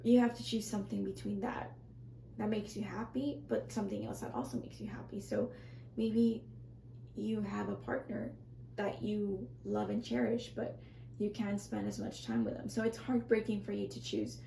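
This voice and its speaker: Woman, tarot reader, scratchy strained voice, gravelly, rambling soft delivery, echoey room. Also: medium pitch, animated, moderate pace. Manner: rambling, self-correcting, soft and reassuring. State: scratchy, strained voice, clearing her throat. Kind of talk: casual pick-a-card tarot reading.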